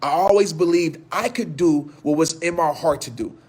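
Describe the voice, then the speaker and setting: A man in his thirties giving an impassioned motivational talk to viewers, hoarse from losing his voice, with a repetitive preaching cadence. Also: fairly high pitch, normal speed, darkish tone. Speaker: motivational speaker.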